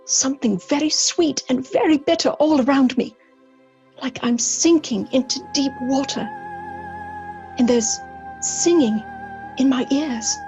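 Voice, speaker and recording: High-voiced, fragile young gentlewoman. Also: a little slow, long pauses, dull audio, soft background music. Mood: fearful. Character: frightened, fragile young gentlewoman, lovelorn victim. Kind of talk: gothic horror audio drama.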